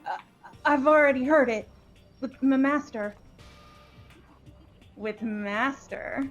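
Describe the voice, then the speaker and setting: A woman's voice: sits high, slow, faint music behind. A woman voicing a devoted, volatile young woman in an amateur playthrough of a visual novel game. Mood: nervous, defensive. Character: timid, stammering, devoted young woman with a brash sadistic other self.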